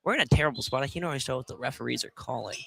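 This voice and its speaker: A man working as color commentator on a live college basketball game, informal and a little halting. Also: mid-range voice. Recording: clearly noisy live radio broadcast.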